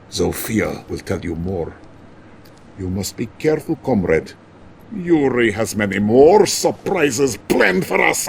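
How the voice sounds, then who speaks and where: An actor playing a bombastic, scheming Soviet premier with a Russian accent and broken English in a video game cutscene. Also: male voice, creaky, slight background noise, full character voice. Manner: bombastic, broken English, swings from booming to confiding. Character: boisterous, theatrical male Soviet premier, jovial and scheming.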